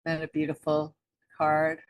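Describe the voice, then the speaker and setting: Woman, low pitch, dry close sound, unhurried halting spiritual talk. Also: monotone, dry recording. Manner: halting, many restarts, soft laughs. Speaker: co-host. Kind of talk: spiritual teaching chat.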